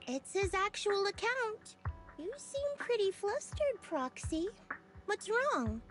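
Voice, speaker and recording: An actress playing a soft-spoken young woman in an anime video game, teasing and deliberate with a calmly menacing edge. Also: very high voice, music in the background, full character voice. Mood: needling.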